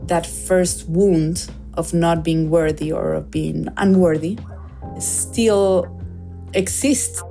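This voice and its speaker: Woman guest, 30s, Chilean Spanish accent, lowish mellow voice, reflective storyteller. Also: fairly even intonation, slow pace, vocal fry, soft background music. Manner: reflective, with frequent "like" fillers. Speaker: guest filmmaker. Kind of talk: personal storytelling in an interview.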